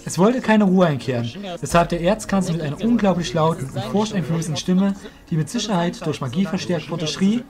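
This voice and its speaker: A man's voice: high voice, expressive, fairly quick. A man narrating a fantasy audio drama as a scripted storyteller.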